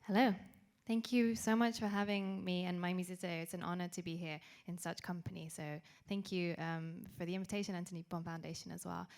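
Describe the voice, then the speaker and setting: A British-accented woman introduces her startup on a conference panel, speaking fluently and in full sentences. Her voice sits a little low for a woman. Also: flat delivery, fairly quick, gravelly, darkish tone. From United Kingdom. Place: large conference hall.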